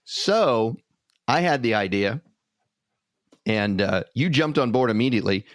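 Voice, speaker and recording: A man's voice: medium pitch, lively intonation, unhurried, no echo, clean studio sound. A man co-hosting a podcast in a casual, conversational storytelling style. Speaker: podcast co-host.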